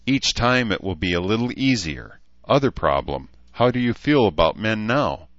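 A man's voice: fairly low pitch, expressive, moderate pace, fairly bright tone, no echo, dull audio, pitched above the performer's own voice. A man voicing a blunt, wise but caring elf woman who talks in clipped, broken phrases, in an audiobook story. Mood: frank, advising.

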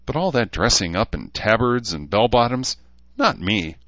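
A man voicing a lively, opinionated young woman, chatty and wry, as a character in a fantasy audiobook. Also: on the low side, moderate pace, pitched above the performer's own voice. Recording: dull, lo-fi, roomy sound.

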